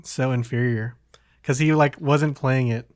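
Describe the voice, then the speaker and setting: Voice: medium pitch, steady tone, measured pace, dry recording. Casual podcast host, dry close sound. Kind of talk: casual conversation about video games.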